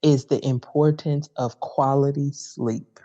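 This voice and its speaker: A person in their forties co-hosting a podcast, upbeat and joking, with quick asides. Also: mid-range voice, steady tone, dark tone, muffled recording. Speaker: podcast co-host. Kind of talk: casual chat.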